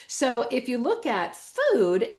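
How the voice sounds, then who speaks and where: A woman's voice: a little high, wildly swooping pitch, takes it slowly, darkish tone. A woman with a Wisconsin accent explaining things enthusiastically and emphatically as an interview guest, using everyday analogies. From Wisconsin, United States. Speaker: natural medicine school owner and teacher, interview guest. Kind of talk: animated explanatory interview talk.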